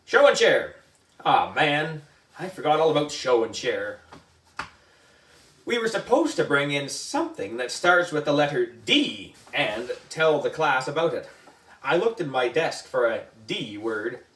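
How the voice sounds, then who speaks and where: A man's voice: mid-range voice, sing-song, a little slow, a bit bright. A man reading a children's story aloud in a theatrical way, with exaggerated emphasis. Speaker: book reader for a children's book club. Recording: echoey room, distant mic.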